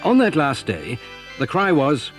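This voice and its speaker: A man with a slightly husky voice, narrating a scripted children's story. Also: fairly high pitch, huge pitch swings, unhurried, faint music behind.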